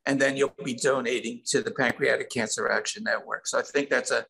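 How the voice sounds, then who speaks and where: A man's voice: medium pitch, fairly even intonation, a little fast, creaky, bright, forceful tone, low-quality recording. An older man telling stories casually as a songwriter guest in an interview over a video call. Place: indoor room at home.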